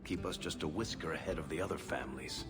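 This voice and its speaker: An actor playing a wry, glib dwarf adventurer with roguish charm in a video game. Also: male voice, rumbling low, quick pace. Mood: self-amused, breezy. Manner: sardonic, glib, self-amused storytelling. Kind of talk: video game character dialogue. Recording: music behind the voice.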